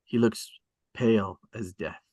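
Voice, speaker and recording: A man reading screenplay stage directions as scripted narration, with deliberate dramatic emphasis. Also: fairly low pitch, darkish tone, husky, dry recording. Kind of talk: scripted narration at a table read.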